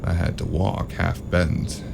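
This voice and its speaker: Man acting gravelly, deep-voiced sardonic noir investigator. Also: flat delivery, takes it slowly, background noise, full character voice. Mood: tense narration. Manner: dry, gruff, sarcastic. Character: world-weary sardonic male investigator narrating his noir case. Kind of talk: first-person noir audio drama narration and dialogue.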